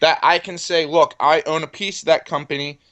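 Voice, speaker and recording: Self-assured young man with a higher-pitched voice. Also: fairly even intonation, brisk, a bit bright. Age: 20s. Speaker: entrepreneur interview guest. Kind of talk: conversational business pitch in an interview.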